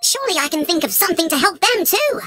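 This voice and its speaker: A shrunken cartoon boy engine, extremely high and squeaky. The delivery is determined and animated, over clear music. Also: quick pace, full character voice. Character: ant-sized, plucky young boy toy-like engine. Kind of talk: children's cartoon acting. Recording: music behind the voice.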